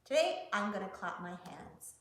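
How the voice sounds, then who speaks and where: A woman's voice: mid-range voice, lively intonation, moderate pace, roomy sound. A woman hosting a children's story time, reading picture books and talking in simple, encouraging words to young listeners. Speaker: library story-time host.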